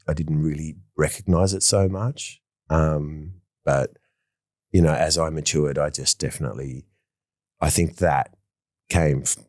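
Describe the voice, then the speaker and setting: A man's voice: a bass voice, darkish tone, dry recording, clean studio sound. A middle-aged man, a fashion designer talking in a casual interview, enthusiastic and rambling with many fillers and restarts. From Australia.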